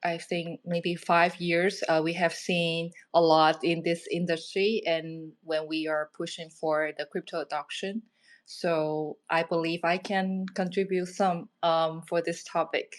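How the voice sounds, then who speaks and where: A woman's voice: fairly low pitch, little pitch movement, a little slow. A woman speaking as a guest on a panel, introducing herself and her company with many hesitant filler sounds.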